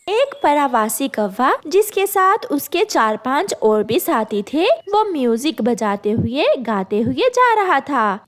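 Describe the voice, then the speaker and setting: A woman storyteller narrates a children's cartoon tale. Her voice is high, with lively rises and falls. She reads the script at a slow pace.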